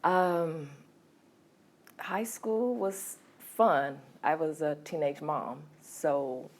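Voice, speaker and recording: A middle-aged woman from California telling her life story as an interview guest, hesitant with many ums and soft laughs. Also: deep voice, extremely slow. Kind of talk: candid personal storytelling.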